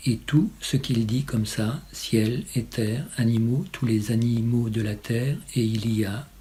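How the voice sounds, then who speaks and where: A man reciting poetry in a solemn style, with measured, incantatory phrasing and short pauses. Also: medium pitch, fairly even intonation, dark tone, a little hiss or hum. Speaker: poet reading own poem.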